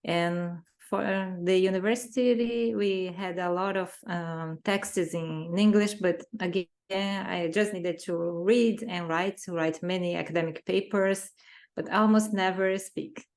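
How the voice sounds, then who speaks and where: Slow-talking Brazilian woman, low voice. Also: fairly even intonation, a little mellow. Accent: Brazilian Portuguese. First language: Portuguese. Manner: careful, searching for words in a second language. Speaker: interview guest. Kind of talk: conversational interview answers.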